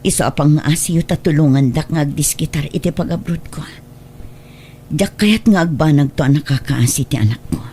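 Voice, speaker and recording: A woman's voice: sits low, moderate pace, mellow, slight background noise, lightly acted. A woman playing a hurt, long-suffering single mother in a radio drama, pleading for her child in an Ilocano accent. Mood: sorrowful. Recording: music bed under the voice.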